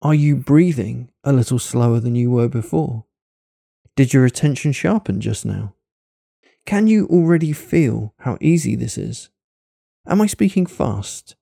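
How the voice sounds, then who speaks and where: A man leading a hypnosis session in a slow, deliberate, coaxing manner, shifting into rapid-fire questions. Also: medium pitch, gravelly, mellow, no echo, clean studio sound. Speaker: hypnosis narrator.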